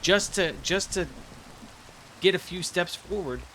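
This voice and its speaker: A man's voice: sits high, measured pace, bright, forceful tone, slight background noise. A man giving casual, rambling commentary while playing a video game, thinking aloud with many restarts. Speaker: gaming video creator. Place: cold room with a heater.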